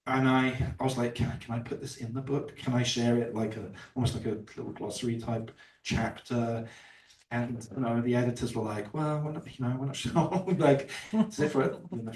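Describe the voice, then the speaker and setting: A man's voice: mid-range voice, far from the mic. Man, author at a book event, casual rambling, fillers and restarts, roomy echo, off-mic. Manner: rambling, many fillers and restarts, laughs often. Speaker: author presenting his book. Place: bookstore event room.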